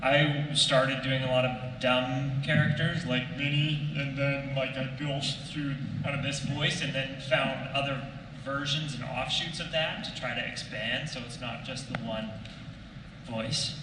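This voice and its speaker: A man's voice: medium pitch, fairly even intonation, measured pace. A man talking casually and off the cuff as a voice actor on a convention panel. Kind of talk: casual unscripted anecdote. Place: convention panel room, PA sound. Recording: echoey noisy hall from far off.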